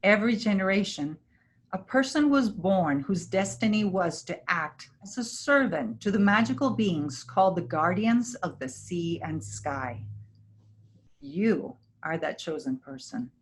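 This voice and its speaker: A woman's voice: fairly low pitch, animated, unhurried, a little hiss or hum. A woman playing a breezy, self-assured village elder in a staged play reading, dispensing prophecy like a storyteller. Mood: solemn, assured.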